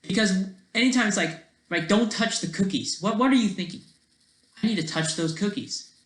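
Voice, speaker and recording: A person thinking aloud in casual, rambling, digressive commentary as a video creator, with a creaky voice. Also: medium pitch, normal speed, fairly bright tone.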